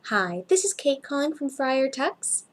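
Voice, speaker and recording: A woman voicing a kind, helpful female shop assistant in a story, talking in a gentle, coaxing, reassuring way. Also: high-pitched, sing-song, measured pace, slight background noise. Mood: businesslike.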